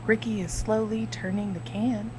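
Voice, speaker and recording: A woman's voice: mid-range voice, little pitch movement, normal speed, noisy background. A woman co-hosting a podcast, giggly and playful in casual chat. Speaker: podcast co-host.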